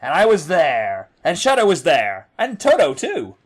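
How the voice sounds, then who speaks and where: A man's voice: fairly high pitch, wildly swooping pitch, measured pace, heavily acted. A man playing a chatty, wry investigator in a fan audio drama. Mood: playful. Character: chatty, quippy male investigator.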